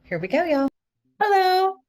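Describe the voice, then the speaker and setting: A woman's voice: very high-pitched, big pitch swings, unhurried, a little mellow. A woman hosting an interview in an upbeat, chatty manner, laughing often. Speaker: podcast host.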